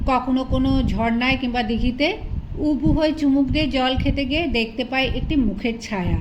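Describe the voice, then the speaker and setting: A woman reads a literary story aloud. She works as an audiobook narrator, telling the tale in prose. The recording carries clear noise behind her. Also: high-pitched.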